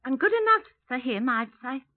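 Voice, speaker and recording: A tinny vintage radio recording of a music hall star. Her voice is high and swoops theatrically. She comes across as vain, flighty and gushing, full of her own importance. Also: big pitch swings, moderate pace. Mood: indignant. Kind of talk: 1950s radio crime drama. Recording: tinny, narrow-band 1950s radio recording.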